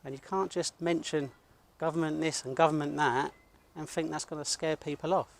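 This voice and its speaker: A British man with a voice a little higher than most talks in a blunt, self-assured way. He sounds like an independent videographer speaking casually outdoors, narrating to his camera and chatting. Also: steady tone, normal speed, creaky. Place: outdoors at a college car park.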